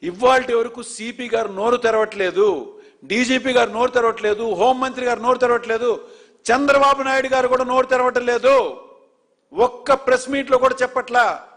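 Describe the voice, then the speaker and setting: A man's voice: extremely high pitch, fairly even intonation, moderate pace, a bit bright. A man presenting political news commentary, speaking in an indignant, emphatic way and asking rhetorical questions.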